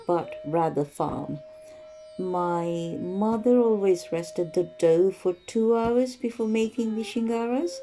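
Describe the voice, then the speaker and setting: A woman from Bangladesh hosting a home cooking show, explaining each step slowly and carefully. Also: fairly low pitch, dark tone.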